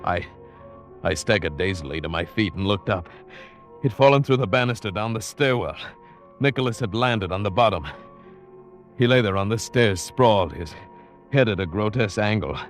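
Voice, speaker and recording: Actor as tense radio adventure hero, music behind. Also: male voice, fairly low pitch, natural voice. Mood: desperate, then dazed. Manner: dramatic, deliberate, suspenseful delivery. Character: tense, determined adventurer hero narrating his own peril. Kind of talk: old-time radio drama acting, first-person narration.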